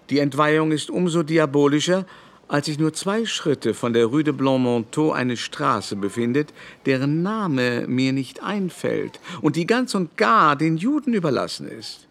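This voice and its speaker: A man's voice: fairly high pitch, sing-song, unhurried, vocal fry, heavily acted. A man playing a haughty, affected baron in a literary radio drama, with a pompous, declamatory manner. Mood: indignant, disdainful. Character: haughty aristocratic baron, affected, brusque and venomously bigoted.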